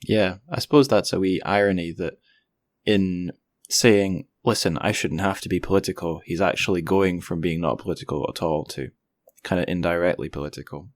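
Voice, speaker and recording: A man's voice: low voice, moderate pace, dry recording. A man hosting a podcast interview, casual and tentative, thinking aloud as he talks.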